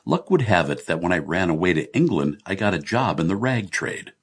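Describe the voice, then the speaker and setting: A man's voice: deep voice, very animated, a little fast, husky, heavily acted. A man voicing a good-humoured, blunt middle-aged Irish businessman in an audiobook, breezy, teasing and confiding. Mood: wry, reminiscing.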